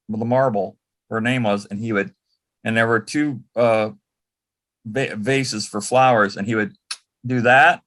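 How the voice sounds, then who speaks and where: A man's voice: mid-range voice, a bit bright. A man telling anecdotes as an interview guest in a casual, conversational way, often quoting dialogue as he reminisces. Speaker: interview guest, former personal assistant to an entertainer.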